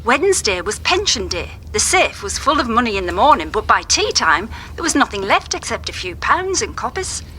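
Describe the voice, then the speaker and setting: High, bright, earnest woman. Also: big pitch swings, moderate pace, few pauses, a little hiss or hum. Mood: eager, recalling. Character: earnest, unsettled daughter of a crime victim. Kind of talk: naturalistic radio drama acting. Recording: faint background ambience.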